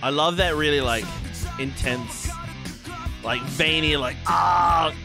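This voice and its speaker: A man's voice: on the high side, takes it slowly, creaky. A young man streaming a reaction, talking casually, exclaiming and laughing a lot as he comments on what he sees. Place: dim home streaming room with colored lights. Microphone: headset. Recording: loud music under the voice. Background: anime music playing.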